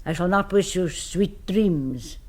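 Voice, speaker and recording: A woman's voice: lowish voice, slow pace, darkish tone. An actress playing a stern Scottish governess in a radio drama, prim and darkly matter-of-fact as she serves her vampire household. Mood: grimly matter-of-fact. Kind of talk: 1970s radio drama acting.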